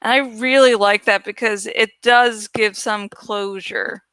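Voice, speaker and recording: A woman's voice: medium pitch, steady tone, a little slow, fairly bright tone, clear, resonant tone, no room sound. A woman hosting a book read-aloud show, giving informal fan commentary that rambles and digresses. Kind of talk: casual, rambling fan commentary between dramatic readings.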